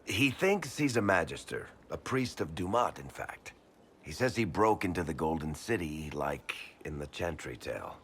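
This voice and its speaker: A man's voice: low voice, sing-song, normal speed, a bit bright, a little hiss or hum, full character voice. An actor playing a wry, world-weary man in a fantasy game, telling things in a casual, frank and emphatic way. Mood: troubled, frank. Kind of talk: video game voice acting.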